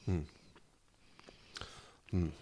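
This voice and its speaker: A man's voice: extremely slow, mellow, lo-fi sound. A man co-hosting a casual podcast chat, rambling with lots of fillers and restarts, and laughing easily. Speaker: podcast co-host.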